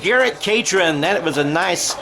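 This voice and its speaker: A man doing radio-style football play-by-play, excitable, thinking aloud and correcting himself as he follows the action. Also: sits high, normal speed, music in the background.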